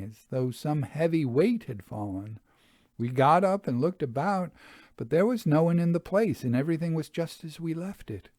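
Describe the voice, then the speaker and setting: A man's voice: a little high, mellow, no echo, studio quality, close to an everyday voice. A man voicing a nervous farmer's wife in a storybook reading, earnest and emphatic as she tells of her haunted house.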